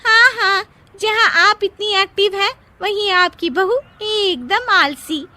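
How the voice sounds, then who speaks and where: A woman voicing a nosy, mocking female neighbour in a Hindi cartoon story, loud, shrill and theatrical. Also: slow, no room sound, heavily acted.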